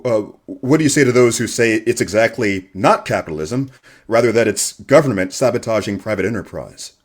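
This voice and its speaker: A man acting as a local radio reporter in a radio play. His voice sits fairly low, and he comes across as courteous and earnest, putting his questions in a measured manner. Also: lively intonation. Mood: earnest, challenging. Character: polite, earnest male local radio interviewer. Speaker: actor in an audio drama. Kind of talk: radio theater acting, news interview questions.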